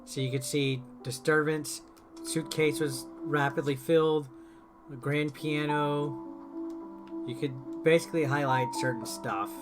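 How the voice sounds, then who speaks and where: A man's voice: fairly high pitch, steady tone, measured pace. A man giving informal, rambling gameplay commentary as a video host, explaining things step by step. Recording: music behind the voice. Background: game music.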